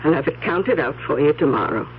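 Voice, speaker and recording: A woman's voice: sits low, expressive, fairly quick, narrow, tinny audio, background noise. An actress playing a grieving, stubborn elderly aunt in a radio drama, stammering and repeating her words. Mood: subdued, composed. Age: older. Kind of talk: old-time radio drama acting. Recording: thin band-limited radio recording with music.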